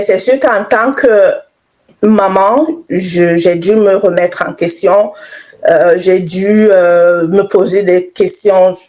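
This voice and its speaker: A middle-aged woman from Cameroon giving personal testimony in an interview, halting and reflective, repeating phrases for emphasis. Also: mid-range voice, darkish tone. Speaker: interview guest, author.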